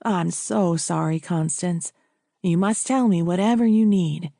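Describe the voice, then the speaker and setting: An earnest family doctor, a man as a woman narrator voices him, talks in a mellow, slow voice. He is gentle and solicitous, a caring old friend in a storybook reading. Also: fairly low pitch, creaky, dry recording. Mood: sympathetic.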